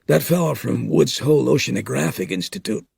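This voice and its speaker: A man's voice: mid-range voice, fairly quick, vocal fry, a little mellow, slightly rough, no echo, clean studio sound, pitched above the performer's own voice, exaggerated voice. A man voicing an easygoing small-town newspaper editor with a matter-of-fact manner. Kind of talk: audiobook character reading.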